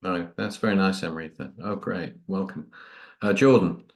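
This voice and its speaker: A man with a very low voice hosts a group session. He chairs it informally, inviting people to speak in turn. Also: fairly even intonation, moderate pace, creaky. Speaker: course host.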